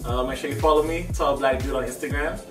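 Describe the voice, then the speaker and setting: A young man from Miami, a guest on an interview show, talking in a relaxed way with lots of fillers. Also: medium pitch, fast. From Miami, USA. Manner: relaxed, uses many fillers. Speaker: guest, aspiring model. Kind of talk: casual interview. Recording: echoey room, far from mic, music behind.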